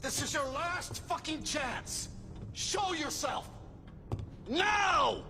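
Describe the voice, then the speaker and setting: Menacing, wildly swinging villain. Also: male voice, very high voice, animated, drawn-out words, raised voice, over music, full character voice. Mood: enraged. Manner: foul-mouthed, threatening, bullying. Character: cruel, menacing middle-aged male villain hunting a child. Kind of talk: dramatic video game acting.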